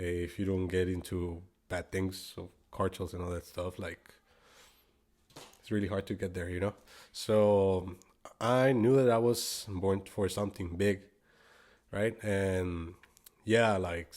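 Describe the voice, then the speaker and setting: A man's voice: low-pitched, darkish tone. A man in his twenties from Guatemala with a Spanish accent, speaking in a loose, rambling way as a podcast guest, full of "like" and "bro" and with the odd switch into Spanish. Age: 20s. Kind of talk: casual interview chat.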